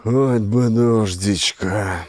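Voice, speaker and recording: Man acting a slow, weary, dim village elder. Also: on the low side, a little mellow. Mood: weary, glum. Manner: languid, drawn-out, dejected complaining. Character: hefty, dim, good-natured village elder, wearily bored.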